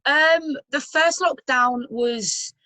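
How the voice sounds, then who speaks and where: A woman's voice: high voice, extremely slow, bright, forceful tone, no echo. A young woman with a London accent, chatting as a guest in a casual interview, full of fillers and restarts. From London, England. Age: young adult. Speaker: guest footballer.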